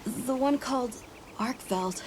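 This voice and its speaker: A woman's voice: on the high side, slow pace, darkish tone. An actress playing an earnest, troubled young village boy in a video game cutscene, hesitant in how they speak. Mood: anxious, pleading. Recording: noisy ambience behind the voice.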